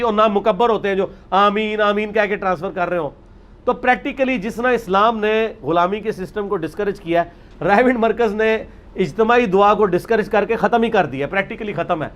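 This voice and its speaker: A man with a Pakistani accent giving an informal religious lecture in an emphatic, argumentative manner, mixing in English words. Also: high voice. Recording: dull, lo-fi recording.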